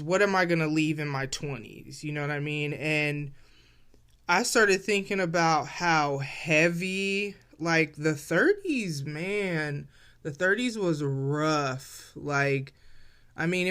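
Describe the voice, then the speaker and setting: A woman's voice: sits low, a bit bright, clean tone. A woman of forty hosting a casual solo podcast, talking in a reflective, loose and chatty way straight to her listeners. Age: 40s. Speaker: podcast host.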